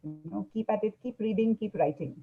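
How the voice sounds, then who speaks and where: A woman novelist whose first language is Urdu, talking in a halting way with many fillers on a panel held over a video call. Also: mid-range voice, breathy edge, phone-quality sound, soft background music.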